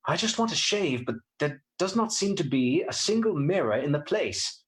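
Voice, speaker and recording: An actor playing a polite, earnest young gentleman in a radio play, speaking precisely and formally. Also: fairly high pitch, big pitch swings, normal speed. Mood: uneasy, grave. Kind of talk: radio play acting with diary narration.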